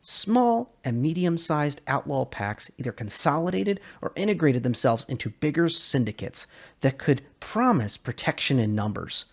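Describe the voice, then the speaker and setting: Male narrator for sci-fi lore read, husky tone, emphatic and theatrical, widely varied intonation. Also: medium pitch. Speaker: narrator, lore video creator. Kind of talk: dramatic reading of science fiction lore.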